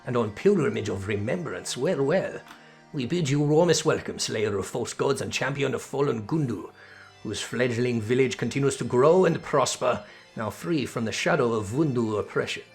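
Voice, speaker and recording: A man's voice: medium pitch, close to an everyday voice. Fantasy game creature villager, male player doing the voice: earnest, ceremonious, clipped third-person phrasing, music underneath. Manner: formal, clipped article-free speech, speaks in third person.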